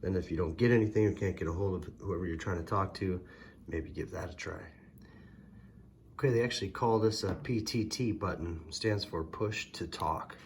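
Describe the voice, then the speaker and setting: A man's voice: low voice, moderate pace. Casual man demonstrating gear, very noisy recording. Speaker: product reviewer. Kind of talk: casual hands-on product demonstration. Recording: loud background noise, vehicle ambience.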